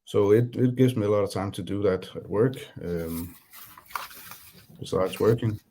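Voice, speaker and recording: A man with a Danish accent, speaking English as a second language, talking about his beat production as a guest in a casual interview, pausing often to find his words. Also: fairly low pitch, dark tone, a little hiss or hum. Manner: halting, searching for words.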